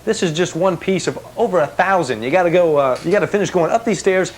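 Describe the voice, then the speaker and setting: A man's voice: sits high, quick pace. A man working as a field reporter, bantering and asking eager questions in a casual live feature interview. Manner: animated, jokey, chatty, eager questioning. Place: collector's house, indoors. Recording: noisy 1990s TV broadcast tape.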